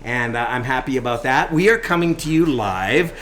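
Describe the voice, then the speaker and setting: A man's voice: medium pitch, lively intonation, moderate pace, a bit bright. A middle-aged or older man with a Canadian accent co-hosting a casual podcast chat in an exuberant, jokey, exclamatory way. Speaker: podcast co-host. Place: coffee shop.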